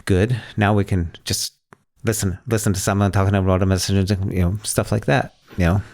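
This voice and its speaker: A man's voice: low voice, little pitch movement, moderate pace, a little mellow. A man hosting a casual tech podcast, talking in an informal, anecdotal way. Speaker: podcast host.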